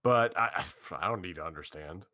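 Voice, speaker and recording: A man's voice: deep voice, fairly quick, bright, forceful tone, no echo, clean studio sound. A man playing an earnest, caring young male protagonist in a visual novel reading. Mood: earnest, reassuring.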